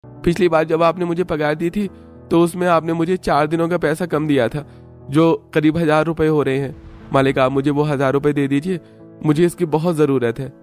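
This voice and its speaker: Man as a higher-voiced, earnest poor labourer. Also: fairly even intonation, moderate pace, darkish tone, faint music behind, lightly acted. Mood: pleading. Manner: pleading, earnest. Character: poor, earnest young male labourer, pleading and worried. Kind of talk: cartoon story dialogue.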